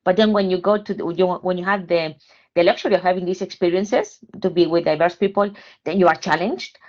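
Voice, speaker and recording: A woman talks earnestly as a podcast guest, hesitating often as she searches for her words. Her voice sits a bit low. The sound is muffled and lo-fi. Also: fairly quick, darkish tone. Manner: hesitant, many fillers and restarts, earnest. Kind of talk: casual panel discussion.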